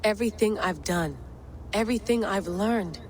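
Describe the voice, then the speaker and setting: A woman's voice: medium pitch, moderate pace, a little hiss or hum, close to an everyday voice. Actress as a determined female field agent. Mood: resolute. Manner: direct, clipped, resolute. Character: determined, intense modern adventurer woman, field agent. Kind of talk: naturalistic game cutscene acting.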